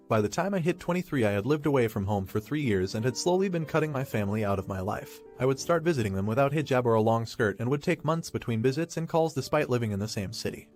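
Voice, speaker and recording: Fast-reading male story narrator. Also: medium pitch. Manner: steady fast read-aloud narration. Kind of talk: reading Reddit stories aloud.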